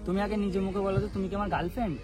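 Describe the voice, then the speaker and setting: Insistent high-pitched young-sounding man over music. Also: steady tone, rapid-fire. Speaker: prank vlogger. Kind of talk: staged serious phone conversation, casual. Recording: loud background music.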